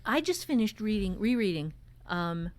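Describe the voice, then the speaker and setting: A woman's voice: mid-range voice, very animated, moderate pace, a little mellow. A woman hosting a casual talk on writing, giving advice earnestly and thinking aloud with emphatic repetition. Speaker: podcast host and novelist.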